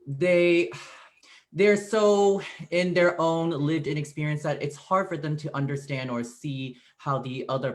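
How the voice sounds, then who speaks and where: A man giving an informal curtain speech as director, halting and playfully wordy. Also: mid-range voice. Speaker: theater director.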